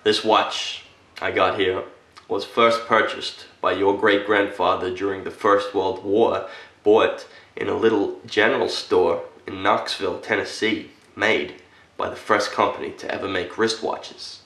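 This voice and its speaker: A solemn military veteran, played by a man, speaks in a low, creaky voice in an echoey room. He delivers a dramatic film monologue as an earnest storyteller addressing a young boy, pausing deliberately between phrases. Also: flat delivery, a bit bright, recorded from a distance. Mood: solemn, friendly.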